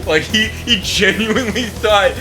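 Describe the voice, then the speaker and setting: A man's voice: sits high, unhurried, music in the background. A young adult man doing casual host commentary and reading a summary aloud, sweary and amused. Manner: sweary, amused, often breaking into laughter. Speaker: video host.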